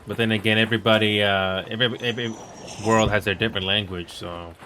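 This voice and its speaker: A man's voice: on the low side, fairly even intonation, fast, over music. A man born in Finland whose first language is Finnish, chatting casually on a live stream as he plays. He rambles, repeats himself and mutters at the game. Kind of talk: casual live-stream chat while gaming. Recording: noisy background.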